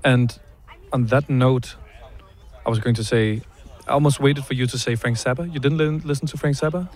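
A man's voice: mid-range voice, moderate pace, a little mellow. Chatty man interviewing outdoors. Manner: friendly, chatty, with many fillers. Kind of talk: casual interview. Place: city park outdoors.

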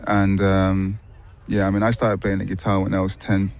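A young man from Finland, a musician guest answering interview questions in a park in a relaxed, thoughtful way with hesitant restarts. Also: low-pitched, normal speed. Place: city park outdoors.

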